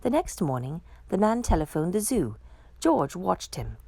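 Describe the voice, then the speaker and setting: A woman reading a children's picture book aloud with expressive, dramatic storytelling. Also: lowish voice, measured pace, vocal fry, no echo.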